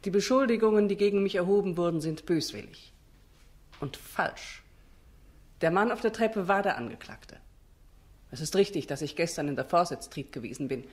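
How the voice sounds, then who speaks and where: A woman's voice: lowish voice, expressive, normal speed, darkish tone, natural voice. An actress playing an indignant, defensive female witness in a German radio play, formal and emphatic.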